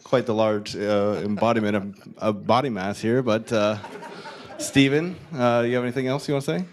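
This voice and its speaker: Deep-voiced man with halting remarks. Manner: halting, self-deprecating joker. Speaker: bicycle advocacy group member. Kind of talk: informal remarks at a city council meeting. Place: city council chambers.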